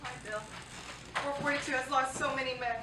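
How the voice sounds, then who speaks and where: A woman's voice: sits high, fairly even intonation, a little fast, lightly acted. A woman playing a devout young woman devoted to her soldier sweetheart, in a staged reading. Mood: wistful. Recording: echoey theatre, distant mic, noisy.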